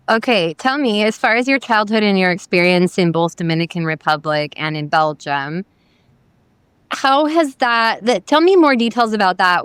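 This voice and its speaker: A woman's voice: on the low side, fairly bright tone, smooth, dry recording. Female host, very clean tone, dry close sound, upbeat conversational questions. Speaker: interviewer, physician host. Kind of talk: interview hosting.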